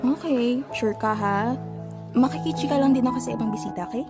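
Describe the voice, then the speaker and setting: Young Filipino bride played by an actress, warm, playful chatterer, slightly high, audio drama with loud music. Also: female voice, brisk, close to an everyday voice. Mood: considerate. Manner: playful, gossipy, casual.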